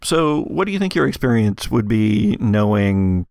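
A man with a fairly low, creaky voice runs a tabletop game. He improvises the narration in a halting, conversational way. The sound is close and dry. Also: big pitch swings, darkish tone, dry recording. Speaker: game master.

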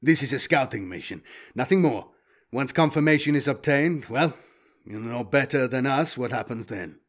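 A tough male bounty hunter in an audiobook, as a man narrator plays him. He is sardonic and taunting, with a deliberate, threatening delivery at a middling pitch. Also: lively intonation, lightly acted. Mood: hard, deliberate. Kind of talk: audiobook character voice.

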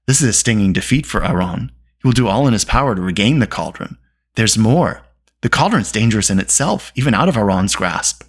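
A grave male warrior from a fantasy tale, as a narrator plays him. He is wise and calm. He cautions in a measured, serious tone at a middling pitch. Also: breathy edge. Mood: grave warning.

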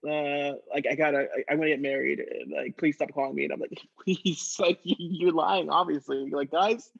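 A woman's voice: low voice, fairly even intonation, moderate pace, vocal fry, breathy edge, exaggerated voice. Woman acting a whiny lovesick ditz, slightly muffled. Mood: whiny, pleading. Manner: rambling valley-girl whining. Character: whiny lovesick ditzy woman seeking boy advice. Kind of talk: improvised comedy sketch.

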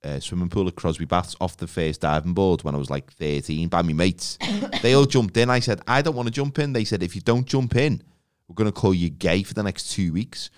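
A man's voice: low-pitched, brisk, clear, resonant tone, no echo, studio quality. A man with a Liverpool accent, co-hosting a podcast, telling rambling, jokey stories in casual chat. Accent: Liverpool English. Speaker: podcast co-host.

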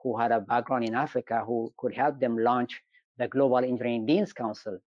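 Measured Ethiopian-born professor, muffled audio. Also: male voice, mid-range voice, steady tone, dry recording. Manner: measured, storytelling, frequent hesitations. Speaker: engineering professor, guest. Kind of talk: conversational interview.

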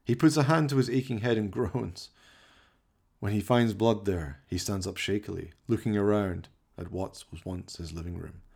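A man's voice: lowish voice, normal speed, gravelly, darkish tone, husky. A man delivering theatrical, scripted narration for a comic horror radio play, in an arch, melodramatic manner.

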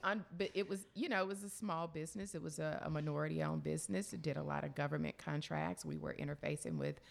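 A woman with a deep alto voice and a strong gravelly fry. She speaks in a measured, confident way and repeats words as she builds a point. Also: fairly even intonation. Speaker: panel guest. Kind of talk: personal storytelling in conversation.

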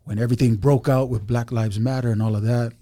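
Male podcast guest, easygoing and talkative, mellow tone, dry room. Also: medium pitch, steady tone, fast talker, no echo. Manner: laid-back, chatty, many fillers and asides. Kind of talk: casual podcast chat.

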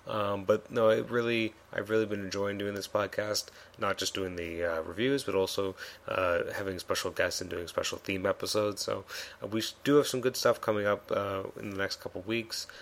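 A man's voice: fairly low pitch, steady tone, moderate pace. A man hosting a solo comic review podcast, talking casually with many fillers and restarts, his voice a bit worn.

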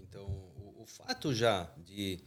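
Low-voiced man, firm and emphatic. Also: expressive, a little slow. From Argentina. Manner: firm, emphatic, searching for words. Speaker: football coaching association coordinator, guest. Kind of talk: podcast interview answers.

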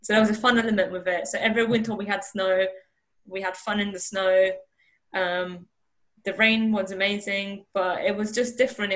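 A woman's voice: medium pitch, a bit bright. A young woman from Iran, talking earnestly in an interview over a video call, often restarting and repeating words. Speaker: interview guest. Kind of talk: candid conversational interview.

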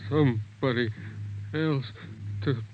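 An American actor playing a gravely wounded, bitter man in a 1950s radio drama, weak and halting, with labored breath. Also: mid-range voice, close to an everyday voice. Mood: bitter, fading. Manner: halting, broken phrases. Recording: muffled, faintly noisy 1950s radio recording.

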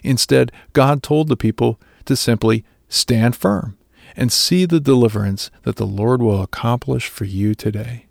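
A man's voice: medium pitch, measured pace, vocal fry, no room sound, studio quality. A man reading a scripted devotional reflection on a scripture passage. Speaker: devotional speaker.

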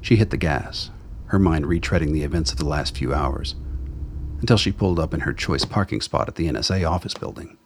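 A man's voice: a little fast, a little hiss or hum. A man reading scripted dramatic narration as the storyteller of a radio play. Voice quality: very deep, husky.

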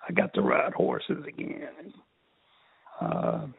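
An older man from Texas with a raspy, strained voice, telling his life story in an interview in a halting way, repeating words. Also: no echo. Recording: tinny phone line.

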